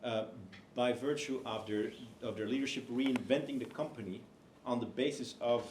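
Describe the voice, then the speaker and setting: A man's voice: medium pitch, moderate pace, a little mellow. A man speaking as a co-author on a panel, hesitant, with many fillers and restarts. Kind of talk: panel discussion. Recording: echoey hall, faint noise.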